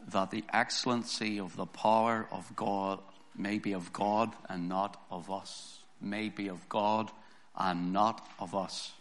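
Man, pastor, hoarse, sermon, deliberate scripture reading, emphatic preaching. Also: fairly low pitch, a bit bright.

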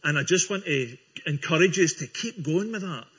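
A man's voice: on the high side, expressive, normal speed, fairly bright tone, slightly rough. Earnest Scottish man giving testimony. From Scotland. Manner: earnest, friendly, with small restarts. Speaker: guest speaker giving testimony. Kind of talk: church testimony. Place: church before a congregation. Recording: dull lo-fi recording.

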